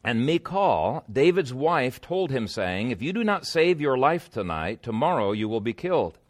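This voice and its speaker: A man preaching a conversational sermon as a pastor, explaining the text informally with personal anecdotes, pauses to find words and rhetorical questions. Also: mid-range voice, sing-song.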